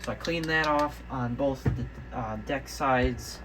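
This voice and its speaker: A man giving a casual show-and-tell vlog as a hobbyist, rambling and haltingly correcting himself as he goes. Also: mid-range voice, over music. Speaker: hobbyist video maker. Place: indoors, room with audio gear.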